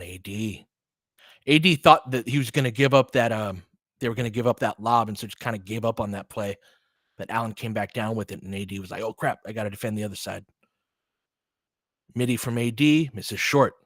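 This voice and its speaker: A man's voice: lowish voice, a bit bright, no echo, studio quality. A man doing live basketball play-by-play in short clipped phrases, with casual, partisan asides like a fan commentator.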